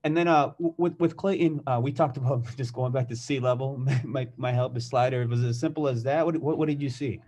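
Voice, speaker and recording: A male reporter asking halting, quick questions at a press conference, with restarts. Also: medium pitch, warm tone.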